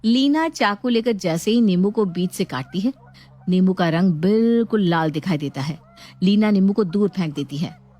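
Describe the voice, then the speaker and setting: A woman storyteller delivers scripted narration. Her voice sits at an average pitch for a woman, telling a tale from a prepared text. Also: lively intonation, normal speed, dark tone.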